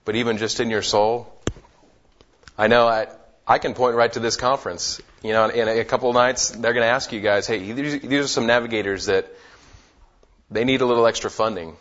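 A man's voice: medium pitch, steady tone, fairly quick, a bit bright. A man leading a group Bible study in a casual way, often repeating words as he gathers his thoughts. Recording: dull lo-fi sound.

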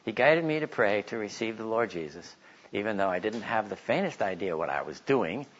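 A man giving informal religious teaching, telling stories and reading aloud in an animated way. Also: on the low side, a little fast, slightly rough. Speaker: Bible teacher giving a talk. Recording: slightly dull, lo-fi recording.

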